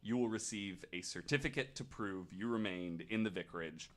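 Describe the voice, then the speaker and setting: A man's voice: lowish voice, moderate pace, a bit bright. A man retelling ghost stories as a podcast host, in a casual, joking way.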